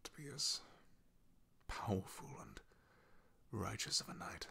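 A man playing a solemn, haunted, devoted knight in an ASMR fantasy roleplay, speaking haltingly in a husky, gravelly half-whisper. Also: lowish voice, wildly swooping pitch, exaggerated voice. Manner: hesitant, halting, confiding in hushed tones. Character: loyal male knight, solemn, haunted, devoted to his princess.

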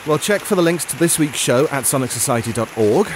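A man's voice: medium pitch, animated, brisk. A man co-hosting an audio drama show with scripted banter and sign-off. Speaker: podcast co-host. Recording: clear hiss and outdoor-like noise.

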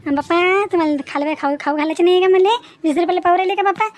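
A woman voicing a nosy, nagging village wife with a Vidarbha Marathi accent in an animated moral story, gossipy and exclamatory. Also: extremely high pitch, racing, a little hiss or hum. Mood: nagging, cajoling.

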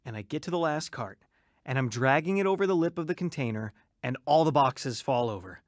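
A man's voice: medium pitch, no room sound, clean studio sound, close to an everyday voice. An actor playing an earnest, eager young man turned pastor in a dramatized radio testimony. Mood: excited. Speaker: narrator and lead actor.

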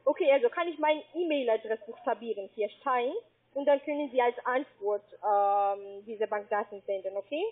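A husky, somewhat high woman's voice with a Spanish accent, talking in broken German as a call-centre agent. She spells things out slowly and patiently, letter by letter. The sound comes through a thin, tinny phone line. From Spain.

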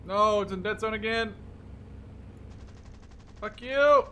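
A young man giving casual, excitable gameplay commentary, swearing freely as he reacts out loud to the game. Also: high-pitched, slow pace, a little hiss or hum. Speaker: gaming video host. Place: small room at home, indoors.

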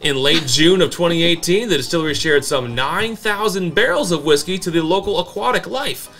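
An American man in his thirties co-hosting a show, narrating comic history and bantering in a dry, deadpan manner. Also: a little high, fairly quick. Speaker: co-host of a whiskey review show. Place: small indoor home-style bar set. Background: background music with guitar.

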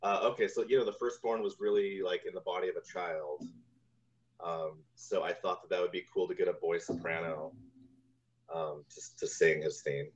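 A man in his forties telling stories casually as an interview guest, halting as he goes, over a video call. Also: deep voice, fairly even intonation. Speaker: guest, composer. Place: room at home, indoors, on a video call. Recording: dull, lo-fi video-call audio.

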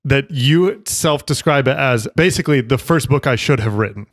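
A man co-hosting a work podcast, upbeat and laughing easily as he pitches a new book. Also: normal speed, dry recording, clean studio sound. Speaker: podcast co-host. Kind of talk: casual podcast chat.

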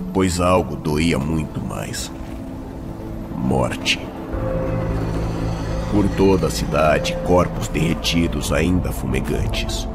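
A man with a Brazilian accent giving grave, deliberate, dramatic narration of a fantasy story. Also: very deep voice.

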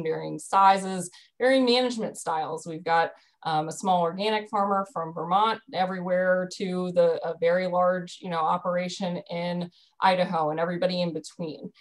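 A woman in her thirties explaining a dairy program on a video call from home, earnest, with frequent ums and restarts. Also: on the low side. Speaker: dairy program staff presenter. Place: bedroom at home. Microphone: video call webcam.

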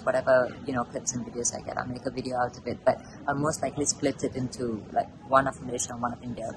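A woman in her thirties with a low voice talks casually, as if updating viewers on a trip. She holds the camera at arm's length in an airport terminal, and ambient noise is clearly audible behind her. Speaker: vlogger, mother of a toddler. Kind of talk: casual travel vlog talk to camera. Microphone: camera held at arm's length.

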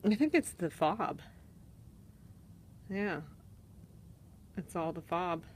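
A woman with a Canadian accent chats her way through an antique mall, naming items and prices. Her voice sits low and she takes her time. It is recorded on a handheld phone, with faint hiss and shop ambience behind her. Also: animated, slow, a little mellow. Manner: chatty, listing items and prices. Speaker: shopper filming an antique mall booth. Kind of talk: casual walk-through narration. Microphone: handheld phone camera.

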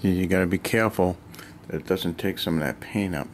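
Man, deep low voice, rambling and thinking aloud, casual hobby chat, basement room, faint background hum. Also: fairly quick. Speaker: miniature painting hobbyist.

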